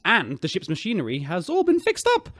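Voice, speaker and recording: An actor playing a smug, insecure spaceship captain, boasting in an awkward, halting manner. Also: male voice, high voice, wildly swooping pitch, a bit bright, dry recording, full character voice. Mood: smug, pleased.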